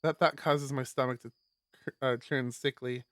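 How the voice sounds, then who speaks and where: A man streaming a live read-aloud of a visual novel, expressive, with stumbles and casual asides. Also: mid-range voice, normal speed, no room sound, studio quality. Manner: expressive, stumbles over words while reading.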